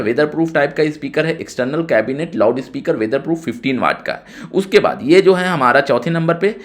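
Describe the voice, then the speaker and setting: A man giving an informal tutorial, explaining technical drawings step by step and often repeating himself. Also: medium pitch. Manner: step-by-step explaining with frequent repetition. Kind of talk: informal screen-share tutorial narration.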